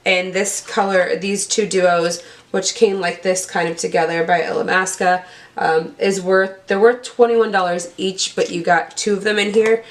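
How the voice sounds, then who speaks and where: A young woman with a cold-roughened, hoarse voice, chatting casually as she unboxes beauty products and stumbles over product names. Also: medium pitch, little pitch movement, moderate pace. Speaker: beauty vlogger.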